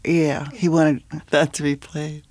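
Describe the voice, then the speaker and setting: A woman's voice: sits low, flat delivery, slow, darkish tone. A woman actor chatting casually in a panel conversation.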